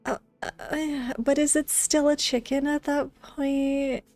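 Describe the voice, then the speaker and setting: Slow, mellow-voiced woman chatting on stream. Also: high voice, little pitch movement. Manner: friendly, greets viewers by name. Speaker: live streamer. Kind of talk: casual chat with viewers while gaming. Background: game music.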